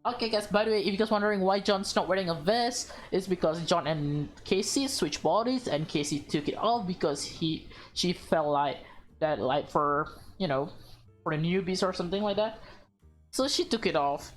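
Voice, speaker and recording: A woman's voice: lowish voice, moderate pace, faint music behind. A woman doing a casual playthrough, reading visual novel dialogue aloud, stumbling over lines and reacting to them. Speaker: video creator playing a visual novel.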